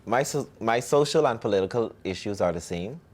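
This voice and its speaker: A man's voice: fairly low pitch, expressive, a little fast. A young man from the Bahamas, a musician answering interview questions in a cocky, self-promoting way.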